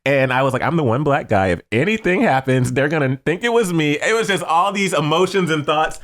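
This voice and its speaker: Animated American man chatting on a podcast. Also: fairly high pitch, a little fast. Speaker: podcast co-host. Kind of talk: casual chat, storytelling.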